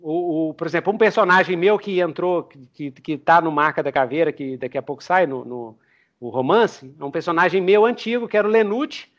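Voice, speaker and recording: High-pitched Brazilian man chatting as podcast host. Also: fairly quick. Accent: Brazilian Portuguese. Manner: animated storyteller, repeats phrases, slips into character voices. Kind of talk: casual chat with RPG tips.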